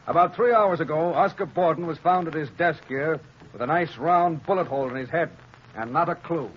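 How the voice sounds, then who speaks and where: A muffled, slightly noisy vintage radio recording of an actor as a police commissioner. His voice sits fairly high for a man. He is brusque, sarcastic and talks down to people. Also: fairly quick, close to an everyday voice. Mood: scornful, impatient. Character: gruff, scornful male police commissioner. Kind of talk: 1930s radio acting.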